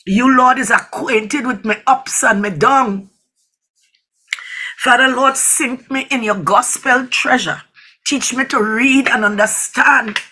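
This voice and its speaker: Woman, fervent prayer leader; forceful, husky voice, pleading delivery. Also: fairly high pitch, takes it slowly. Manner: pleading, repeatedly invoking God. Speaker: person leading prayer.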